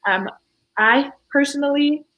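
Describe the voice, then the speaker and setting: Young woman from Fiji, podcast guest, lively storyteller with lots of laughs. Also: high voice, measured pace, rough recording. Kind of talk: casual interview chat.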